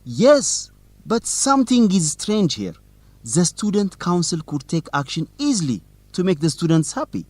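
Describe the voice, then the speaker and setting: An actor playing a teasing, suspicious young student newsletter reporter in an educational radio drama, with a determined manner. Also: male voice, sits high, big pitch swings, a little slow, a little mellow, dry recording, full character voice. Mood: skeptical.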